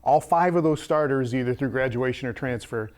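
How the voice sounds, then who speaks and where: A man's voice: mid-range voice, fairly quick, darkish tone. A man hosting a sports talk show, moving between casual chat and presenting to viewers.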